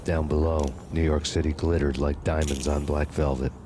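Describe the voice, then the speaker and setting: World-weary noir game narrator, bottomless low pitch, monotone, deliberate, deadpan. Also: male voice, background noise. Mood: grim, weary. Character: brooding, world-weary hardboiled man narrating a noir tale. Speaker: narrator and protagonist.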